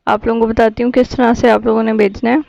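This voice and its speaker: A woman's voice: fairly high pitch, level tone, fast, gravelly, a little mellow, dry recording, dull audio. A woman, an Urdu speaker, narrating a casual app tutorial as a YouTube host.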